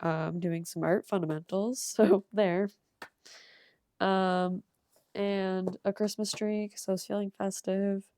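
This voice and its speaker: A young woman with a cold and a slightly hoarse voice, rambling casually with ums and soft laughs as she shows her art. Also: medium pitch, steady tone, takes it slowly, dry recording, clean studio sound. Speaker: art channel creator. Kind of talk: casual sketchbook tour voice-over.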